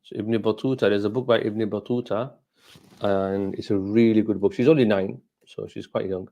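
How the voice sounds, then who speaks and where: A middle-aged man whose mother tongue is Gujarati, talking informally as a scholar answering questions, in a halting, reflective way that repeats phrases. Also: lowish voice, steady tone, mellow, highs cut off. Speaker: Islamic scholar hosting a question and answer session.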